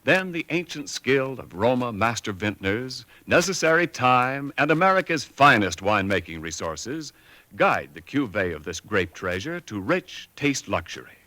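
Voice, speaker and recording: A man's voice: sing-song, normal speed, sharp tone, dry recording. Polished 1940s radio announcer, man. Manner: polished, smooth commercial pitch. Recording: old 1940s radio broadcast.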